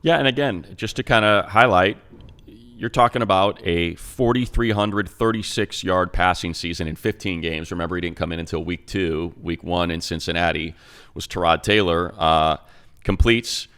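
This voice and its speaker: A man co-hosting a sports talk show, running through stats quickly with restarts and asides. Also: deep voice, a bit bright, clean tone. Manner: fast, stat-heavy, self-interrupting. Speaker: radio play-by-play announcer, co-host.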